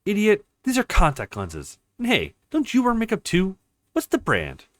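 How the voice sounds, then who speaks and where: A man voicing a sharp, sadistic woman strategist in a visual novel, curt and threatening in manner. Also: sits high, huge pitch swings, moderate pace, no room sound, clean studio sound, voice pushed up, full character voice. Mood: scornful. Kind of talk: reading visual novel dialogue.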